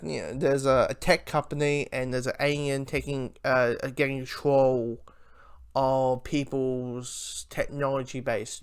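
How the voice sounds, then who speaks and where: A man giving a casual, unscripted fan review, rambling and halting with repeated words and false starts. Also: medium pitch, clean tone.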